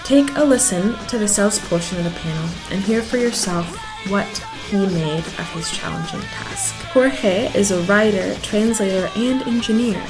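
Woman, host, scripted narration, measured delivery, guitar music underneath. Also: mid-range voice, rough recording. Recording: clear music bed underneath.

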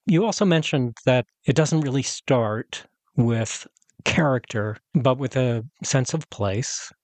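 A man interviewing an author, asking long, measured questions in a formal way with small stumbles. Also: mid-range voice, darkish tone, no echo.

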